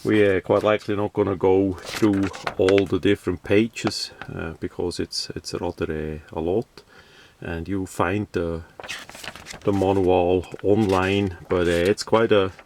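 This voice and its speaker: A man with a low voice talks through a casual product unboxing, speaking as a non-native English speaker. He takes his time, with halting phrases and repeated words. There is some light noise behind him. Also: fairly even intonation, a little mellow. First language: not English. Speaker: product reviewer.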